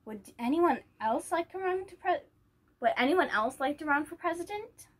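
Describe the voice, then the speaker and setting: A woman's voice: sits high, expressive, normal speed, pitched above the performer's own voice. A woman voicing an encouraging, matter-of-fact female schoolteacher while reading a children's picture book.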